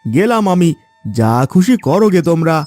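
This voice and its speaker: A man's voice: high voice, big pitch swings, normal speed, darkish tone, soft background music. A man voicing an exasperated village farmer husband in a cartoon fairy tale, with a theatrical, complaining delivery. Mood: fed up.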